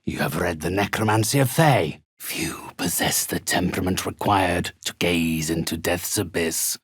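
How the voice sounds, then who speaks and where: Ancient undead necromancer for a fantasy game: very husky, gravelly, pompous, mercenary and menacing. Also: male voice, sits low, a bit bright, no echo, clean studio sound, full character voice. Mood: solemn, haughty. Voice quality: raspy, hoarse. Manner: pompous, formal, deliberate. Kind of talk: theatrical video game character acting.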